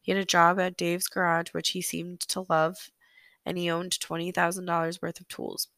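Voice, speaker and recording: A sick, hoarse woman hosting a solo true-crime podcast, chatty and apologetic, filling pauses with ums. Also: fairly low pitch, fairly bright tone, no echo. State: sick, hoarse, losing her voice. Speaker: podcast host. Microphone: close podcast microphone.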